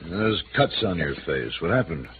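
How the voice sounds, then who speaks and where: A man playing a gruff, evasive middle-aged oil millionaire in a 1950s radio drama. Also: deep voice, big pitch swings, moderate pace. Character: evasive, irritable rich American oilman host. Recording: muffled, faintly noisy old radio recording.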